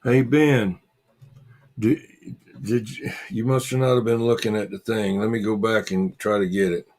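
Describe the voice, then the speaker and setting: A man hosting a casual livestream, chatting with viewers in a halting, repetitive way and muttering asides to himself. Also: on the low side, fairly even intonation, darkish tone. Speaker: livestream host.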